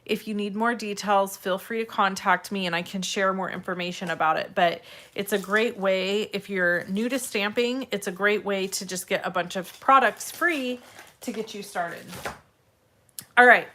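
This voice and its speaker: A woman with a slightly raspy, congested voice hosts a casual step-by-step craft tutorial, addressing viewers in a friendly, direct way. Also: mid-range voice, normal speed, sharp tone. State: congested, irritated throat from allergies.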